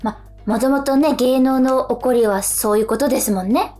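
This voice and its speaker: A woman's voice: high voice, a little fast, mellow, soft background music. A woman co-hosting a casual radio chat, responding politely and attentively with frequent short acknowledgements. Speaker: radio show co-host.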